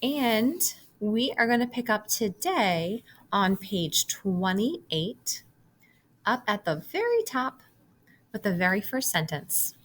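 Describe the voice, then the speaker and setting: A woman's voice: medium pitch, big pitch swings, takes it slowly, a bit bright. A woman reading a children's story aloud expressively, in the manner of a teacher. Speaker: read-aloud reader, teacher.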